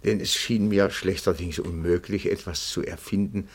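An old bookkeeper turned private detective in a radio play. His voice is very deep, crackly and husky. He speaks with fussy, reverent formality, humbly spinning out every careful phrase. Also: steady tone, moderate pace. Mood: earnest, explaining. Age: older. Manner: deferential, fussy, long-winded, formal.

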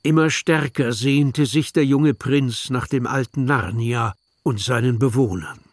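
A man's voice: medium pitch, unhurried, no echo. A man narrating a children's radio play in a scripted storybook style.

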